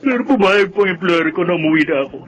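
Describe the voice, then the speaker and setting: A man's voice: high voice, animated, quick pace, fairly bright tone, muffled recording. A man playing a grieving young husband in a melodramatic radio drama, speaking with emphatic emotion. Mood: anguished. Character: grieving young husband back from abroad, vengeful son.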